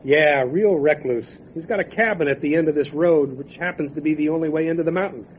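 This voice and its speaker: A world-weary male lawman, acted by a man talks in a wry, folksy, matter-of-fact way in a radio drama. The voice sits a little high, and the recording is thin and tinny with faint noisy ambience. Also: a little fast, close to an everyday voice. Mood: wry, dismissive. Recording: tinny phone-like band, noisy outdoor ambience.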